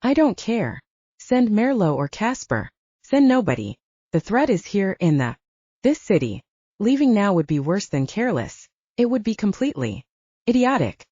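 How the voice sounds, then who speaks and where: A woman's voice: mid-range voice, sing-song, moderate pace, dark tone, dry recording, highs cut off. A woman voicing a proud, stubborn young male enforcer with a curt, stern manner, in an audiobook. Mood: defiant. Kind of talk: audiobook character dialogue.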